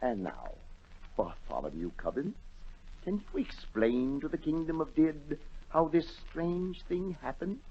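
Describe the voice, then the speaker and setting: A male actor playing a vain, excitable storybook king in a 1940s radio drama, theatrical and imperious. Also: a little high, measured pace, slight background noise. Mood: pompous. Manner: theatrical, imperious, swinging from hushed awe to outbursts. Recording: dull, lo-fi 1940 radio broadcast.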